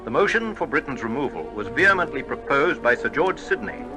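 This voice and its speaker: Actor as an animated radio newsreader. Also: male voice, mid-range voice, fairly quick, over music, natural voice, rough recording. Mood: formal. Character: formal British radio news announcer. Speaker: news announcer. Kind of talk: radio news bulletin in an old-time drama.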